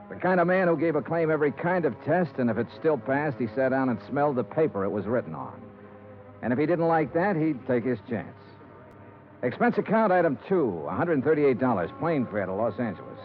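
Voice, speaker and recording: Through a tinny old radio sound comes a male actor as a hard-boiled investigator. He swings his pitch expressively, yet stays wry, clipped and unflappable, in 1950s radio detective style. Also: sing-song, brisk, faint music behind, close to an everyday voice. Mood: dry narration. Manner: wry, clipped, matter-of-fact. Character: hard-boiled freelance insurance investigator, world-weary and unflappable. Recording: tinny, band-limited 1950s radio recording.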